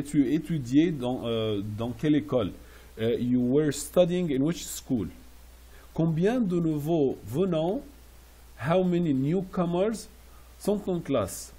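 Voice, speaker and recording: A man teaching French classroom phrases, repeating each slowly and translating it into English. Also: fairly high pitch, dark tone, husky. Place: Riyadh.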